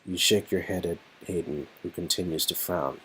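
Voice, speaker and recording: A man's voice: sits low, normal speed, pushed and bright, slight background noise, low-quality recording. A man narrating a romantic game story in scripted second-person prose.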